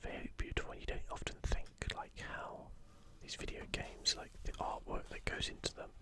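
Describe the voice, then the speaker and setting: Softly whispering man, ASMR style. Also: normal speed. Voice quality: soft, breathy unvoiced whisper. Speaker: host of an ASMR gaming channel. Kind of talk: whispered ASMR, casual show-and-tell of an art book.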